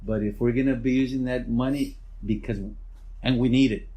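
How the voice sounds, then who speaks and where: A middle-aged man with a naturally loud voice, a school principal answering interview questions in a relaxed, rambling way. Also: mid-range voice, normal speed.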